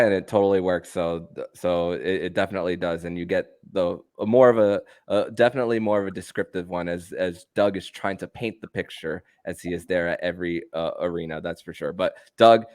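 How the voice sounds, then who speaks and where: A male sports podcast host with a low voice, recorded close and dry. His conversational delivery can be halting, with stammered restarts. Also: fairly even intonation, clean tone, no echo. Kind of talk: conversational hosting and sign-off.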